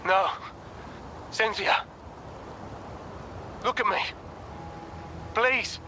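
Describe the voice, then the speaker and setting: A man's voice: high voice, very slow, bright, forceful tone, a little hiss or hum, full character voice. An actor playing a grief-stricken, guilt-ridden man of a merchant family in a game drama, pleading and anguished. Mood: anguished, guilty. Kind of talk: dramatic video game voice acting.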